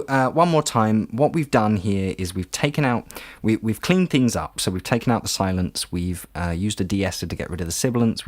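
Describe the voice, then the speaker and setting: A man's voice: deep voice, no room sound. A man narrating an informal step-by-step tutorial as an audio tutor, in a conversational, explanatory way.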